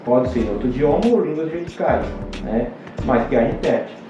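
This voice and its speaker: A Brazilian man, a pastor guest on a podcast, explaining scripture in a halting, explanatory way with many restarts. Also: medium pitch, expressive. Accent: Brazilian Portuguese. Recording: roomy, distant, dull podcast audio. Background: music underneath.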